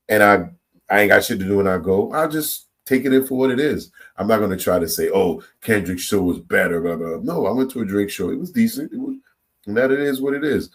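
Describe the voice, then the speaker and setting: Deep-voiced man ranting to his viewers. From Trinidad and Tobago. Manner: animated, opinionated, repeats words and restarts. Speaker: reaction channel host. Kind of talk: casual opinion monologue to viewers.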